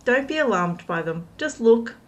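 A middle-aged woman narrating a travel vlog voice-over, calm and informative, giving tips and recommendations. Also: a little high, normal speed. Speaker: travel vlogger. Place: outdoors at a riverside city park.

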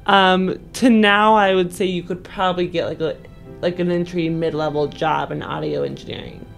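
Female co-host, slightly low register, informal conversational read, background music. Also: fairly even intonation, normal speed, gravelly. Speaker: podcast co-host. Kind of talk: casual chat.

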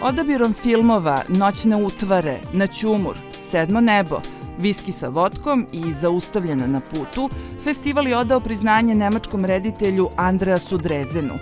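A woman's voice: medium pitch, animated, normal speed. Woman narrating over music. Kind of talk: scripted promotional narration.

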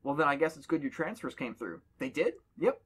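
A man's voice: on the high side, big pitch swings, fairly quick, a bit bright, husky. A man on a podcast reading a horror story aloud to friends and joking between passages. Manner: reads dialogue aloud, jokes between passages. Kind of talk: casual reading with banter.

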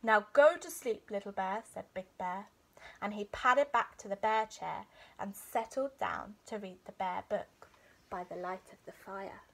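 A woman's voice: mid-range voice, a little slow, creaky, fairly bright tone, low-quality recording. A young adult woman narrating a children's bedtime story expressively, like a teacher reading aloud indoors. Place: small furnished room indoors.

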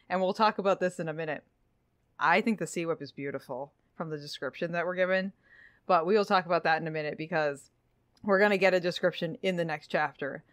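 A woman's voice: fairly low pitch, a bit bright, dry recording. Playful woman podcast co-host, dry sound. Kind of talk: casual, playful book discussion.

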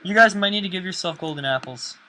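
A man in casual gaming chat with friends, excitable and swearing often. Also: sits high, fast, a little hiss or hum, rough recording. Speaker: gamer.

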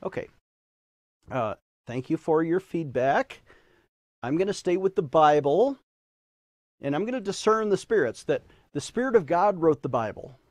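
A man's voice: a little high, lively intonation, moderate pace, pauses often, darkish tone, no echo, studio quality. An American man in his fifties hosting a religious program, presenting and preaching in an emphatic, theatrical way. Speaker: host and preacher of a religious news show. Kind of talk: presenting viewer letters with preaching commentary. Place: TV studio. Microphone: lapel mic.

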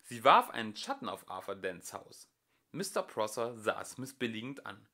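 A young man reading a novel aloud as an amateur audiobook narrator, with lively shifting emphasis. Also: on the low side, moderate pace. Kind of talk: storybook narration.

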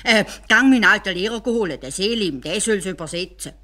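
A woman voicing a pleasure-loving, excitable male caliph in a Swiss German fairy tale, with an expressive, exclaiming delivery. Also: mid-range voice, a little fast, pitched above the performer's own voice. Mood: curious. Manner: drawling and sighing, or gushing with exclamations.

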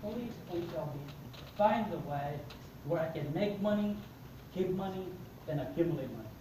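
A man's voice: sits high, moderate pace, a little hiss or hum. A middle-aged man from Mexico with a Mexican accent, telling his life story to an audience and acting out the dialogue. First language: Spanish. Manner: animated storyteller, acts out dialogue. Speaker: motivational speaker. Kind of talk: personal story talk to an audience. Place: conference room with seated audience. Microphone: far camera in the audience. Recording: echoey room, recorded from far off.

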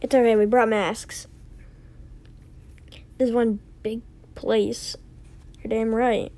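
A woman voicing characters in an amateur animated story, reading scripted lines and sometimes stumbling over them. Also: a little high, slow pace.

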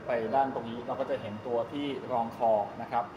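A middle-aged man talks in a chatty, rambling, explanatory way, like a vlogger showing people around. His voice sits at a medium pitch. It is recorded at arm's length in a big echoey space with clear ambient noise. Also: level tone, brisk, lo-fi sound. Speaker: vlogger giving a tour. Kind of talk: casual walk-through explanation to camera. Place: large open-sided go-kart pit hall. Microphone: 360 camera at arm's length. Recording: roomy echo, loud ambient noise.

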